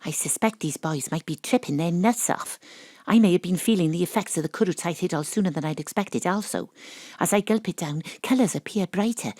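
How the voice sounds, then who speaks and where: A woman with a Welsh accent playing a brash, wry, tipsy private detective in an audio drama, deadpan on a close microphone. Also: on the low side, brisk, no room sound, lightly acted. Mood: wry, dreamy. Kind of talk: audio drama acting with first-person narration. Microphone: close home studio microphone.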